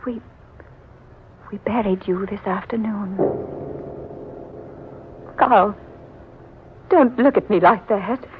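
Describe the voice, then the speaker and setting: A woman's voice: mid-range voice, slow, long pauses, close to an everyday voice. Woman acting anxious grieving widow, old radio horror play, melodramatic n pleading, tinny hissy recording. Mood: pleading, overwhelmed.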